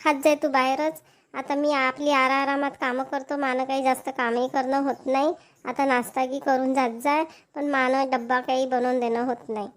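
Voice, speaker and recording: Cartoon mother-in-law, older, female performer, high voice, Vidarbha Marathi accent, forever nagging and feeling sorry for herself. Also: level tone, moderate pace, lightly acted. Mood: scolding. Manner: nagging, complaining. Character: grumbling, self-pitying older mother-in-law. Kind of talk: amateur cartoon dialogue.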